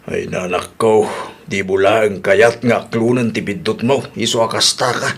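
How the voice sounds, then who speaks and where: A man's voice: fairly low pitch, fairly even intonation, moderate pace, close to an everyday voice. A man playing a plain-spoken, advising husband and father in a radio comedy drama, with an Ilocano Filipino accent.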